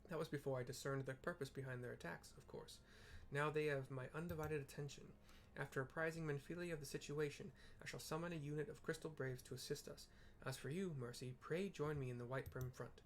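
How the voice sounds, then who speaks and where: A man's voice: a little high, fairly even intonation, a little fast, hardly any pauses, lightly acted. A man reading the lines of an earnest young male scholar aloud, in a voice close to his own. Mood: measured. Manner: reads lines aloud in a light, near-natural voice.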